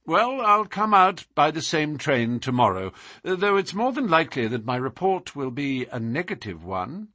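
Man playing a declamatory gentleman detective, very animated. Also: on the high side, moderate pace, no echo, pitched above the performer's own voice, exaggerated voice. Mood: wry, assured. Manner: declamatory, philosophical, precise. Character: brilliant, musing, self-assured gentleman detective.

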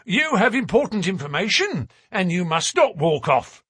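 A man's voice: sits high, very animated, normal speed, a bit bright, slightly rough, dry recording, voice pushed up, heavily acted. A man voicing a bluff, hearty middle-aged police detective.

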